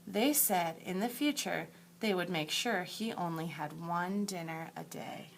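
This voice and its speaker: A woman teacher reading a picture book aloud to young children in a deliberate, emphatic storytelling way, with asides to her pupils. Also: lowish voice, vocal fry, a little hiss or hum. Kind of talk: expressive picture-book read-aloud for second graders.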